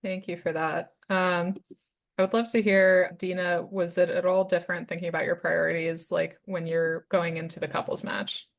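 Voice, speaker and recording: A woman's voice: on the low side, level tone, moderate pace, vocal fry. A young woman resident moderating a webinar panel, introducing speakers and asking questions in a friendly manner. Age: young adult.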